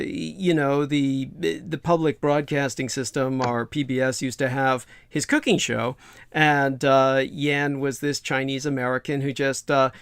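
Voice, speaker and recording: A man hosting a livestream, giving a rambling, scornful opinion monologue in a creaky voice with many drawn-out hesitations and coarse language. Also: fairly high pitch, no echo, clean studio sound. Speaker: livestream host.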